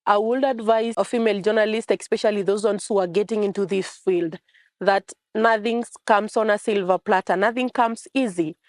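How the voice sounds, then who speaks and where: A woman's voice: medium pitch, steady tone, normal speed, dry recording, clean studio sound. A young woman from Kenya, a journalism fellow, speaking earnestly in a testimonial interview with repetitive phrasing. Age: young adult.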